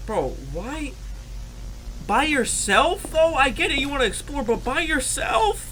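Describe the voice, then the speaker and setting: A man hosting a casual reaction video, excitable and exclaiming at what he watches, talking straight to his viewers. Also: very high-pitched, a little slow, a little hiss or hum, poor audio.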